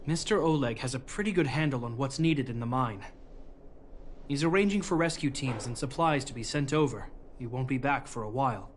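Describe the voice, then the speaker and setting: A man's voice: medium pitch, lively intonation, fairly quick, a little hiss or hum, close to an everyday voice. A man voicing an earnest, dutiful young guard captain with a formal, composed manner in a video game. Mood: businesslike. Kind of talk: voiced game story dialogue.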